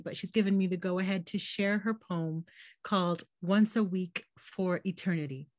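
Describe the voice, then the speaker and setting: A Canadian woman, a poet, giving a poetry reading on a video call, her voice slightly hoarse, quick in her intros and measured as she reads. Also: medium pitch, dry recording. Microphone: computer mic on a video call.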